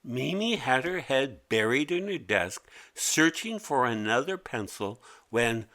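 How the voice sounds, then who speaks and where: Male storybook narrator: expressive, deliberate pacing, bright tone. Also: mid-range voice. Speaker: author narrating his own children's story. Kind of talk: scripted children's story narration.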